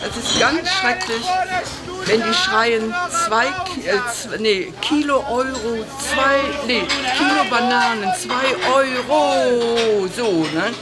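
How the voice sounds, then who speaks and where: A woman's voice: on the high side, slow pace, over a noisy crowd. A woman in a casual interview, complaining and stumbling over her words. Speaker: local resident. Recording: loud music and traffic behind.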